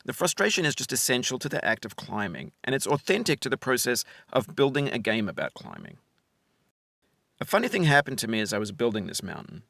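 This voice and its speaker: A man reads a reflective, essay-like narration in a measured and articulate way. His pitch is mid-range and moves with lively variation. The sound is very dry, with no room to it. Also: creaky, dry recording. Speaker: game developer narrating his own game.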